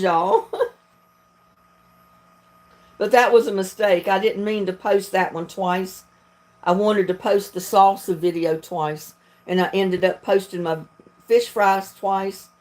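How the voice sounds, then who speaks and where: A woman's voice: medium pitch, takes it slowly, long pauses, darkish tone. Chatty Southern woman on a livestream. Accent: Southern US, North Carolina. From North Carolina, United States. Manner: folksy, chatty, laughs often. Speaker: video creator hosting a livestream. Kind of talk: casual livestream chat.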